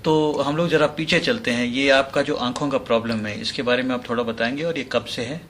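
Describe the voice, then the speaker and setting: A man's voice: mid-range voice, brisk. Polite male interviewer, noisy recording. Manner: polite, formal address. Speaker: radio interviewer. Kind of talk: conversational interview questioning. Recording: noticeable steady background noise.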